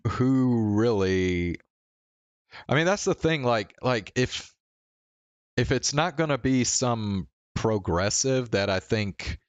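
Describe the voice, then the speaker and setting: A man livestreaming in a casual, rambling chat, halting and stammering through restarts and swearing casually. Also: mid-range voice, animated, smooth, no echo, highs cut off. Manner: casually profane.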